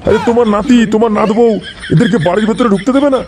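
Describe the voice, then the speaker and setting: A man's voice: sits high, rapid-fire, noisy background. A man voicing a flustered, pleading old village grandfather in a comedy cartoon. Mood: exasperated. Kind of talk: Bengali comedy cartoon dialogue.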